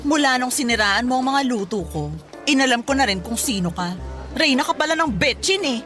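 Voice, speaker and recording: An actress with a Filipino accent playing a haughty, boastful young rival vendor who taunts in a radio drama. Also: female voice, high voice, expressive, fairly quick, a bit bright, soft background music. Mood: smug. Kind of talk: Tagalog radio drama acting.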